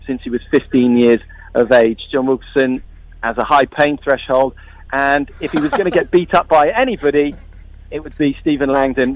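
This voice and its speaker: A man's voice: mid-range voice, dry recording, low-quality recording. A man talking confidently about a possible fight, boastful and casual. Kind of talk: casual sports talk.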